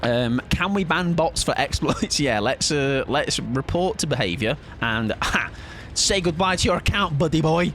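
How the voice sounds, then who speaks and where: A man's voice: moderate pace, slight background noise. A young man streaming a game, chatting casually and playfully with viewers. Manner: chatty, playful, swings into high excited pitch. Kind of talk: casual live-stream chat while gaming.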